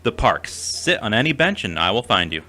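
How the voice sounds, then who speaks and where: A man's voice: lowish voice, big pitch swings, moderate pace, sharp tone. A man playing a well-meaning, self-doubting friend in an audio drama, plain-spoken and mild. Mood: brisk, reserved. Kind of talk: amateur audio drama acting.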